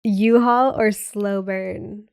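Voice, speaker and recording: A young woman co-hosting a podcast in casual chat, bubbly and quick with asides, laughing often, sounding a bit sick with a cold. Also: mid-range voice, steady tone, gravelly, a little mellow, clear, resonant tone, no echo, studio quality. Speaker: podcast co-host.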